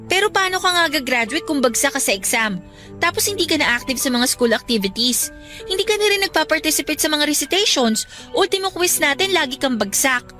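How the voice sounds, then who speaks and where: A woman's voice: high voice, expressive, a little fast, bright, forceful tone. A woman playing an earnest, studious young best friend, admonishing in a radio drama. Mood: concerned, pleading. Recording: music bed under the voice.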